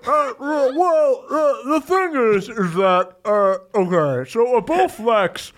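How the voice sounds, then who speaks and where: Man acting a high-voiced, frantic workout guru. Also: expressive, slow, full character voice. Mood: earnest rambling. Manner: rambling, stammering, repeats himself defensively. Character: frantic, defensive, sweaty amateur workout guru, male. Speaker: comedy podcast performer. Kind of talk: improvised comedic radio banter.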